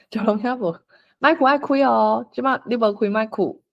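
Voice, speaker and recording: A woman's voice: a little high, moderate pace, creaky, lo-fi sound. A woman with a Taiwanese accent hosting an online group read-aloud, prompting and coaching the participants. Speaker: host of an online play-reading session.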